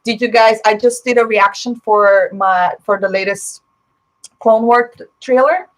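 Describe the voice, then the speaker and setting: A woman's voice: fairly high pitch, fairly even intonation, measured pace, low-quality recording. A woman from Brazil whose first language is Portuguese, hosting a casual live stream, chatting and answering viewer comments with lots of fillers. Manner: chatty, opinionated, frequent fillers.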